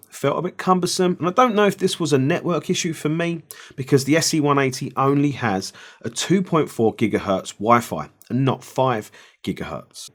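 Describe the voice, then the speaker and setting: A middle-aged man reviewing audio gear in a conversational, measured way, explaining things with personal asides. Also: fairly high pitch, fairly bright tone. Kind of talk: product review to camera.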